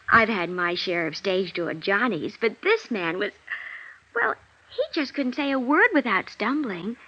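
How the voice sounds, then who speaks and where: Flustered showgirl, lively high-low swings. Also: slightly rough, full character voice. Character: flustered young stage actress, embarrassed, protesting, genteel. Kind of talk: 1950s radio drama acting. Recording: dull vintage radio recording.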